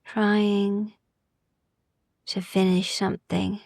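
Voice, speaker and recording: A woman guiding a sleep meditation in a soft, gentle, soothing ASMR style, hushed and often slipping into whispers, with long pauses. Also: mid-range voice, flat delivery, vocal fry, dry recording, clean studio sound. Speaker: sleep meditation podcast host.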